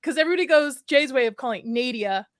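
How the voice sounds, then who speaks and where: A woman's voice: sits high, lively intonation, quick pace, no echo, studio quality. A woman hosting a casual podcast from her home streaming setup, chatty and giggly as she greets people in the chat. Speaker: podcast host. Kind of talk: casual chat, reading out chat names.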